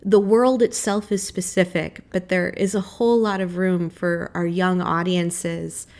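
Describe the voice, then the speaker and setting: A woman speaking as a theater director and interview guest, measured and careful in her phrasing. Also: on the low side, flat delivery, darkish tone. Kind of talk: thoughtful radio interview.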